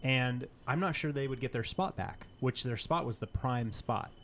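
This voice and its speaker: A man's voice: mid-range voice, moderate pace, fairly bright tone, slight background noise. A man co-hosting a podcast, talking casually and informally about gaming news with loose, conversational phrasing. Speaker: podcast co-host.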